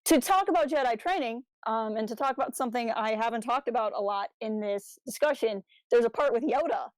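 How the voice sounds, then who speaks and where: High-pitched, animated woman in casual fan talk. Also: brisk, dry recording, low-quality recording. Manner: animated, slangy, enthusiastic storytelling. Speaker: podcast co-host.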